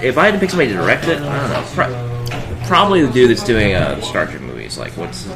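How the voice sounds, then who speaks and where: A man's voice: mid-range voice, very animated, fast talker, vocal fry, music in the background. A man speaking as a panelist, casual and opinionated in conversation. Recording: background crowd chatter.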